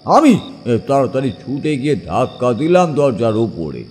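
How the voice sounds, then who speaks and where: An actor playing a haunted man retelling a terrifying ghost memory in a horror audio drama, halting and full of dread, with dramatic pauses. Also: mid-range voice, sing-song, darkish tone, big-room sound, faint music behind, lightly acted. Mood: tense dread.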